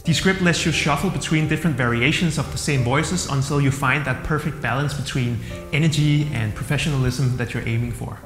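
A man in his thirties with a Danish accent reviewing software to camera in an upbeat, plain-spoken way. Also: mid-range voice, brisk. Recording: roomy hall-like echo with soft music.

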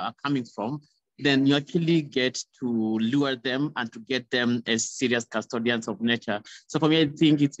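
A man's voice: mid-range voice, no room sound. Muffled young Kenyan man, dry sound. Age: young adult. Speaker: panelist, youth conservation leader. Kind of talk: informal panel answer. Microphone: video call, close device. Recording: muffled, dull video call audio.

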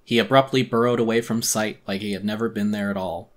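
A man's voice: fairly low pitch, steady tone, fast. A man narrating a first-person horror story as a theatrical dramatic reading.

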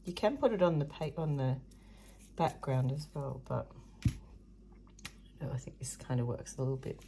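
A woman hosting a casual craft tutorial with a hoarse, croaky voice, chatting and muttering asides as she works at a table. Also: sits low, dark tone, slight background noise. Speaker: craft video host. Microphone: overhead camera above a table, hands only.

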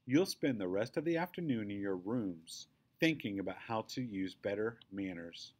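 A man's voice: on the low side, animated, normal speed. A man voicing a stern, disappointed mother in a children's book read-aloud. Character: stern disappointed mother scolding her boys.

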